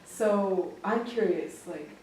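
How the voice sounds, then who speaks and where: A young woman hosting a casual campus interview, chatty and quick to giggle, with many fillers. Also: mid-range voice, a little mellow, a little hiss or hum. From grew up in Japan. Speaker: host. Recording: echoey room, mic at a distance.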